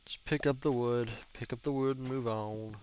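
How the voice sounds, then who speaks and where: Young man, early twenties, stuffy-nosed, rambling gameplay chat with swearing and fillers, mid pitch, faint background hiss. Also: fairly even intonation, normal speed, a little mellow. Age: young adult. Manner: chatty, cursing, rambling with fillers. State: congested, stuffy nose. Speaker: gaming channel host. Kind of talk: casual gameplay commentary.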